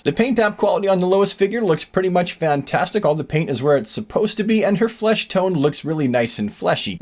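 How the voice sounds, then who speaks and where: A man hosting an informal review in a chatty, emphatic voice-over with playful wording. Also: a little high. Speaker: host of a toy review channel.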